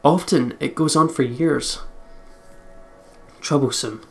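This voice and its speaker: A let's play commentator with a medium-pitched voice reads a visual novel aloud over game music. The narration is expressive and story-like, broken up by casual, sweary and joking remarks. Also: slow, vocal fry. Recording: music behind the voice.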